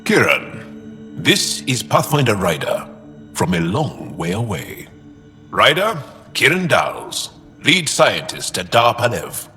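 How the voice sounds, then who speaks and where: A man's voice: fairly low pitch, wildly swooping pitch, slow, sharp tone, exaggerated voice. An actor playing a blunt, doubting alien man in a video game, speaking in a brusque, direct way. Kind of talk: video game dialogue acting. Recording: long reverberant space, faint music.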